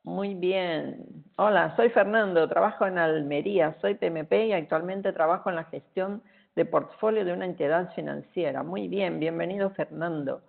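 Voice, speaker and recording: A middle-aged Argentine woman with a Portuguese-tinged accent, giving a relaxed, chatty webinar talk with many fillers over a video call. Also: lowish voice, moderate pace, gravelly. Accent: Argentine Spanish, with Portuguese influence. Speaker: guest speaker. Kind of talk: webinar presentation. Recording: tinny phone-like online call audio.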